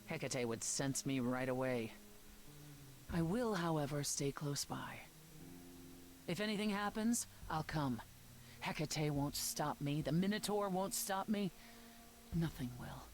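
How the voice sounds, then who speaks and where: A stern, protective video game heroine with a low voice. She speaks with measured, solemn intensity and unshakeable resolve, over audible background noise. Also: expressive. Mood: resolute. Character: tall grave protective woman, resolute ally.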